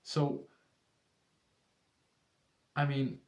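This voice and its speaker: A man with a Danish accent, a tutor speaking English as a second language and pitching one-on-one lessons to camera in a rambling, salesy way full of restarts. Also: completely flat delivery, drawn-out words, vocal fry, reverberant. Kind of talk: persuasive talk to camera.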